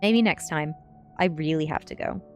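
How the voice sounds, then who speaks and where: A wary teenage goth girl, played by a woman reader, with a lowish voice. She is uneasy and guarded, hesitant yet trying to stay firm in a storybook tale, over faint music. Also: normal speed.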